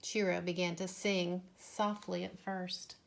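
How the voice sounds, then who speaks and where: A woman reading a children's storybook aloud expressively, with measured, deliberate phrasing. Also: fairly low pitch, level tone, creaky.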